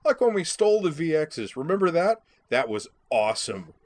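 A brash young woman pilot, tipsy and mocking, as a male narrator puts her on. The voice jumps up high and loud, full of theatrical exclamation and slangy swagger, in a storybook telling. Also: very animated, moderate pace. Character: drunken, brash, boisterous young woman pilot, prone to mockery.

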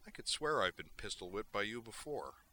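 A man's voice: lowish voice, very animated, normal speed, pushed and bright, slight background noise, full character voice. A man doing a weary, sardonic fugitive. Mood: wry. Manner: dry, sardonic. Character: weary wry male fugitive, sardonic and tired.

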